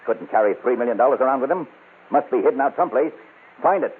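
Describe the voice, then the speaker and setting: Stern police captain, rapid delivery, husky, on tinny vintage radio drama. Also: male voice, medium pitch, expressive. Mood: urgent, stern. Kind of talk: 1950s crime radio drama acting. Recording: tinny narrow-band old radio recording.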